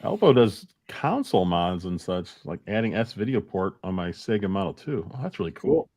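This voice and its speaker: A man's voice: sits low, sing-song, moderate pace, darkish tone, no room sound, studio quality. A man co-hosting a podcast, chatting casually and telling everyday anecdotes. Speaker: podcast co-host.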